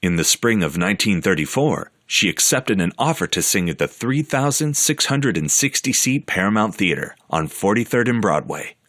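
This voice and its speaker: A man narrating a documentary in an even, measured scripted delivery. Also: lowish voice, dry recording, studio quality.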